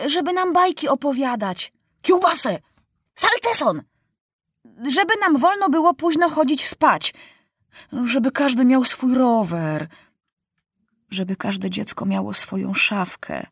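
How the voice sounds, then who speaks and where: A woman voicing a crowd of unruly children calling out excited demands one after another. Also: high-pitched, takes it slowly, long pauses, slightly rough, no room sound, studio quality, pitched above the performer's own voice, exaggerated voice.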